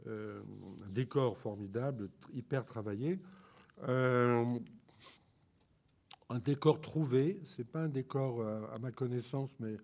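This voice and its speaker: Male lecturer, head cold, breathy voice, thinks aloud with frequent hesitations. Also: medium pitch, slow pace, darkish tone. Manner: hesitant, many ums and restarts. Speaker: lecturer on photography. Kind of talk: informal art lecture.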